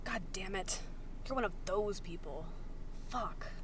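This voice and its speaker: A woman's voice: mid-range voice, a little slow, close to an everyday voice. A woman acts out an indignant young man surviving the apocalypse in an audio story. The delivery is accusatory, sarcastic and emphatic, over faint background noise. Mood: disgusted.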